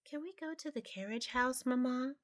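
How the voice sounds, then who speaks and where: A woman's voice: sits high, moderate pace, pitched above the performer's own voice, lightly acted. A woman voicing an eager, playful young male kitten in a storybook, coaxing and cheeky. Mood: pleading. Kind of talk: storybook character voice.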